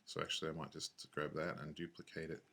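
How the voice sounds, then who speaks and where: A man giving a casual software tutorial, thinking aloud in a laid-back, offhand, slangy way. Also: rumbling low, monotone, fast, gravelly.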